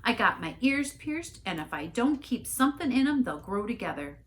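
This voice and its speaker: A woman's voice: a little high, very animated, moderate pace, fairly bright tone, voice pushed up. A woman voicing a brash, stubborn young tough girl in a storybook reading, barking and blunt. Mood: defiant.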